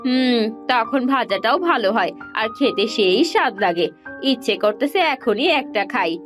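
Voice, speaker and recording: A woman voicing the woodcutter's practical, caring young wife, who speaks in a lively, questioning way. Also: fairly high pitch, normal speed, faint music behind, natural voice. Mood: homely.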